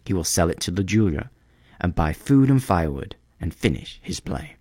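Man as a gentle, sorrowful, solemn prince statue. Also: low voice, very animated, fairly quick, dry recording, studio quality.

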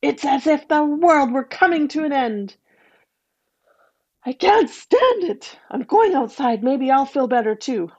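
A woman's voice: high-pitched, expressive, normal speed, few pauses, warm tone, muffled recording, pitched above the performer's own voice. A woman voicing a frightened, guilty stepmother who speaks in frantic fear. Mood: terrified. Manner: frantic, fearful.

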